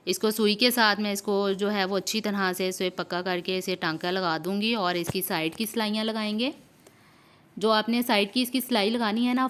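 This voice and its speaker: Clear-voiced woman giving step-by-step instructions. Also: medium pitch. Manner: explanatory, repeats steps and often checks in. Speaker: sewing tutorial host. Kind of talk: informal step-by-step instructional narration.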